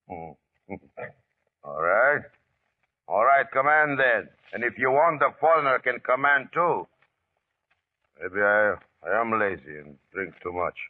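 An actor playing a bitter, mocking, hard-drinking Spanish guerrilla chief in old radio drama style. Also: male voice, mid-range voice, big pitch swings, slow pace, long pauses. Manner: gruff, mocking, menacing. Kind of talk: 1940s radio acting. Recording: tinny, narrow old radio recording.

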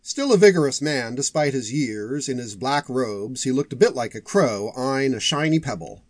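A man reading an epic fantasy story aloud as a scripted audiobook narration, in a measured, expressive literary manner. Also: mid-range voice, no echo.

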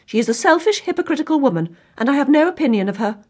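A woman voicing an excitable middle-aged mother in an audiobook, fretful and gushing, with a shrill, dramatic, exclaiming manner. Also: fast talker. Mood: resentful scolding. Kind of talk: audiobook character acting.

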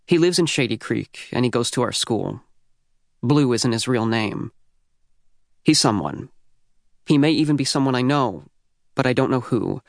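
A man's voice: medium pitch, animated, moderate pace, vocal fry, long pauses, no room sound, clean studio sound. A man with an American accent narrating a first-person teen audiobook in a youthful, wry, conversational way.

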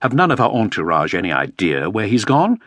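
A man voicing an impatient young Elizabethan man who presses with raised, sharp questions. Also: fairly low pitch, wildly swooping pitch, fairly quick, no echo, heavily acted. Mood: insistent.

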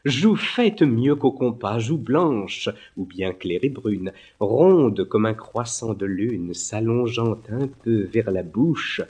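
A man's voice: mid-range voice, a little mellow, slightly rough. A man reciting literary poetry in a declamatory, lyrical and theatrical way. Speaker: poetry reader.

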